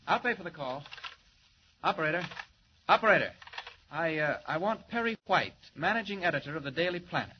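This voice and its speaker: An actor playing an earnest, confident young reporter with urgent, assured delivery in 1940s radio style. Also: male voice, a little high, lively intonation, brisk, long pauses, close to an everyday voice. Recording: muffled hissy 1940s radio recording.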